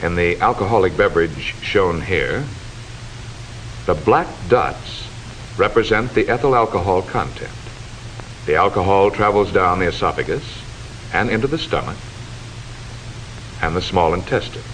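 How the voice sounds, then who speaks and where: A man delivering formal, measured, instructive scripted narration in the style of a 1940s educational film, on a hissy old soundtrack. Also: deep voice, expressive, a bit bright, low-quality recording.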